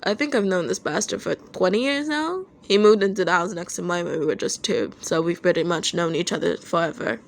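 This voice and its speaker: A woman's voice: fairly low pitch, a little fast, gravelly, few pauses. A woman playing a short, hot-tempered young male rock singer with a curt, grumbling, dry manner in an audio story. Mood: thoughtful.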